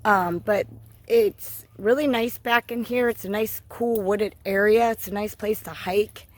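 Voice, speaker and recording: A woman with a hoarse voice from a cold, chatting casually as she hikes a wooded trail with her dogs, filling pauses with lots of ums. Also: mid-range voice, fairly even intonation. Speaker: hiking vlogger. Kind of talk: casual walking vlog.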